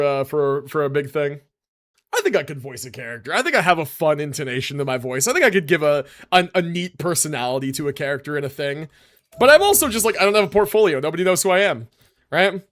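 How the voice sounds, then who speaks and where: A man's voice: fairly high pitch, fairly bright tone. A young adult man streaming live, chatting casually and rambling as he tells a story, often tagging on "right?" and "like" and sometimes mushing his syllables.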